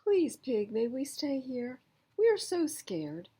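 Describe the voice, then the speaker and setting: A woman's voice: high voice, big pitch swings, takes it slowly, warm tone, breathy edge, voice pushed up, heavily acted. A woman voicing a timid, scared young male frog in a children's storybook, pleading and exclaiming.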